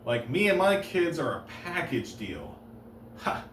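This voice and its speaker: A man doing deadpan one-liner stand-up comedy on a stage, halting and restarting between jokes. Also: on the high side, slight background noise. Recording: roomy live room, heard from a distance.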